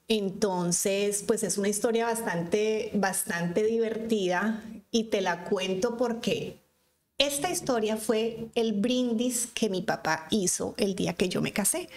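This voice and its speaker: A Colombian woman in her fifties, from Cali, talks easily as a podcast guest. Her voice is mid-pitched and conversational, and she restarts her sentences often as she tells her stories. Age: 50s. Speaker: guest, physician. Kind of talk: casual conversational interview.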